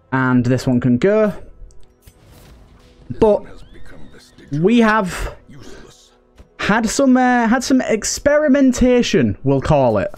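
A man giving casual let's play commentary, thinking aloud and chatting to his game characters. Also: fairly high pitch. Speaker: gaming video host. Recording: game music behind voice. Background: game music.

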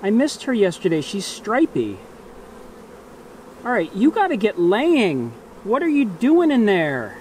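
A man's voice: sits high, wildly swooping pitch, a little slow, darkish tone, slight background noise. A man in his forties casually narrating his work as a beekeeper, talking partly to himself, recorded outdoors. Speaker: beekeeper vlogger. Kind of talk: casual narrated hive inspection. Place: outdoors in a grassy bee yard. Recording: outdoor ambience with buzzing insects. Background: buzzing bees and insects.

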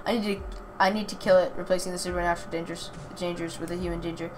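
A woman voicing a mysterious, earnest but teasing forest girl from a visual novel, with halting delivery. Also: fairly low pitch, level tone, natural voice, poor audio. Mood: earnest, sad. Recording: clear background noise.

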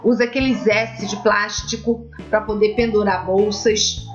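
A woman's voice: mid-range voice, steady tone, unhurried, muffled recording, faint music behind. A middle-aged woman, explaining things casually as a craft host, chatty and emphatic, repeating words for stress. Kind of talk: casual explanatory vlog talk.

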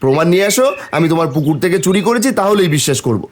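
Man doing a high, cheeky village boy. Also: animated, very fast, slight background noise, lightly acted. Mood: cheeky, defiant. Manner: taunting, sing-song bragging. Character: mischievous cheeky village boy, defiant and bold. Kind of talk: cartoon voice acting.

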